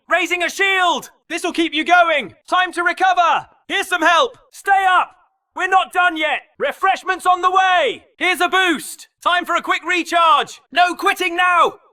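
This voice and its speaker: A man playing a flamboyant, excitable arena combatant in a video game, throwing out exuberant, punchy callouts in short bursts. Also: extremely high pitch, heavily acted. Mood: rallying, upbeat.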